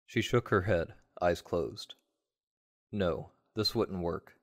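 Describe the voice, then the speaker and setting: A man with a low-pitched voice narrates a dramatic first-person tale. His delivery is measured and wry, in the manner of a radio play. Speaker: narrator of an audio story.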